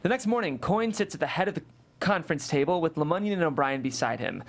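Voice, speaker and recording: A man reads scripted stage directions from a screenplay. His voice sits a little high for a man, and he delivers the action as narration for a table read. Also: expressive, fast talker.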